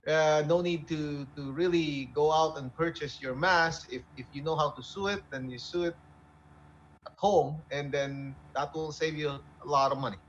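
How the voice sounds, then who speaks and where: A man's voice: on the high side, fairly even intonation, moderate pace. A man casually explaining things as a co-host, hesitating, repeating words and checking in with tag questions. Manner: checks with "right?", repetitive, hesitant. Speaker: radio co-host. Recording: dull narrow audio, faint background noise.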